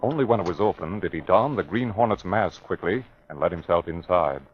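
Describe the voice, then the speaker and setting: A man's voice: sits low, lively intonation, fairly quick, slightly rough. A man narrating a classic radio mystery drama in a scripted, dramatic style. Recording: dull vintage radio broadcast recording.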